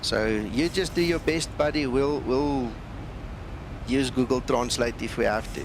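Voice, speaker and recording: A man who is a non-native English speaker chats casually and jokingly as he hosts a flight simulator livestream. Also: unhurried. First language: not English. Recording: constant loud rumbling engine-like noise. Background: aircraft cockpit noise from flight simulator.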